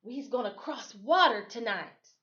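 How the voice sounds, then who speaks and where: Woman playing a protective father, cautious, tender, hushed warnings, storybook character, slightly muffled recording. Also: fairly high pitch, expressive, a little slow, pitched above the performer's own voice. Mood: hushed urgency. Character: cautious, tender enslaved father guiding his family.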